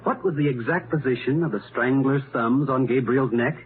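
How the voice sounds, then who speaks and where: An American actor playing a confident, brilliant amateur detective in 1930s radio mystery style, explaining things in a deliberate, methodical, emphatic way. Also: male voice, medium pitch, close to an everyday voice. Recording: noisy, narrow-band 1930s radio recording.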